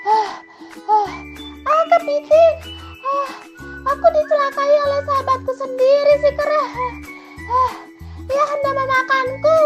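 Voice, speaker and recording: Storybook chicken, very high squeal, breathless, indignant, panicky, music behind. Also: female voice, animated, moderate pace, dull audio. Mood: breathless, distressed. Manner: gasping, stammering squawks.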